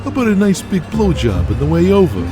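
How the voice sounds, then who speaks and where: A man's voice: high voice, wildly swooping pitch, quick pace, music in the background, voice pushed up. A man voicing a crude, swaggering, cigar-smoking mobster with a big ego in an audio drama. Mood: cocky.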